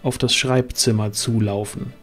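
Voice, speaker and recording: A man's voice: mid-range voice, steady tone, a little slow, fairly bright tone, soft background music. A man narrating a fantasy audio drama in a scripted, dramatic storytelling style.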